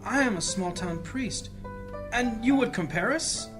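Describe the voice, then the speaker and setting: A woman's voice: a little high, animated, a little slow, over music. A woman playing a humble, troubled small-town priest, earnest and dramatic, in a fantasy audio drama. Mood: disbelieving.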